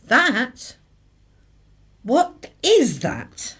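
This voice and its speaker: A woman's voice: sits high, wildly swooping pitch, very slow, a bit bright, breathy edge, full character voice. An actress playing a tired, indignant British wife and mother in a radio drama. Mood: weary, irritated. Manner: indignant, emphatic. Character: tired, exasperated British wife and mother, stands her ground.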